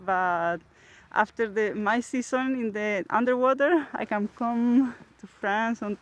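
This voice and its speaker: A woman from Chile with a Chilean Spanish accent, answering interview questions as a paragliding pilot in halting English and laughing often. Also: fairly high pitch, dull audio. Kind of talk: post-flight interview.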